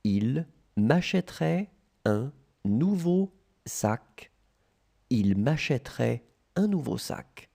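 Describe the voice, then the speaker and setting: A language tutor voice reading short phrases in slow dictation, repeating each one and splitting it into chunks. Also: medium pitch, sing-song, gravelly, warm tone.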